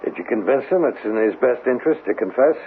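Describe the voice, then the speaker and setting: An actor playing a skeptical, exasperated police lieutenant with a brusque manner in a 1970s radio drama. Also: male voice, mid-range voice, animated, fast talker, gravelly. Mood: businesslike. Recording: tinny, noisy old radio broadcast.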